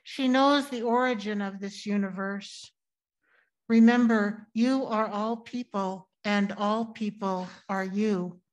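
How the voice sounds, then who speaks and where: A woman reading a poem aloud at a church service in a deliberate, emphatic recitation. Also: medium pitch, fairly even intonation, low-quality recording. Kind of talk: poetry reading.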